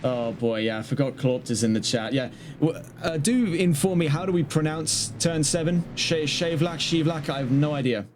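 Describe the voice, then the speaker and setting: Male race caller, fairly high voice, brisk play-by-play with chatty asides, steady background hiss under the mic. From not Dutch. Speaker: race commentator. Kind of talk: live sim-racing play-by-play commentary.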